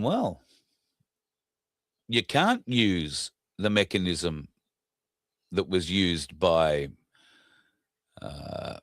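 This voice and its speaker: An Australian man with a scratchy, hoarse throat, hosting a casual solo talk show in a blunt, indignant way. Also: on the low side, no room sound, studio quality. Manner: blunt, indignant, frequent uh fillers. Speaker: podcast host.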